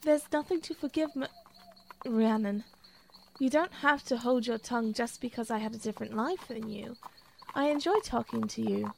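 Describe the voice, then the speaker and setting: A woman's voice: on the high side, normal speed, creaky, a little mellow, a little hiss or hum, low-quality recording. A woman playing a practical, loyal blacksmith with formal, old-fashioned phrasing in an audio drama. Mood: gentle.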